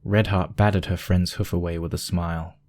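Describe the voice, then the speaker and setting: Low, mellow male story narrator. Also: flat delivery, normal speed.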